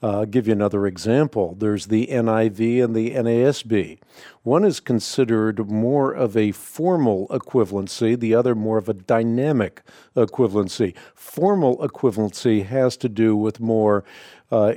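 A man hosting a call-in radio show, answering a Bible question in a measured, explanatory way. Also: fairly low pitch, dark tone, dry recording, studio quality. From Netherlands. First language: Dutch. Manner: explanatory, measured, frequent uh fillers.

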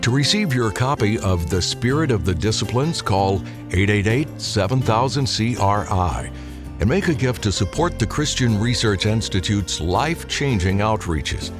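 A male radio announcer reading a scripted promotion in a smooth, measured delivery. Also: lowish voice, lively intonation, music in the background.

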